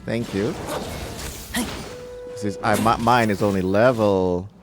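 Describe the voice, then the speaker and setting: A man's voice: fairly low pitch, fairly even intonation, takes it slowly, music in the background. A man whose native language is Filipino, chatting casually while commentating on his own gameplay. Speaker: gaming channel host.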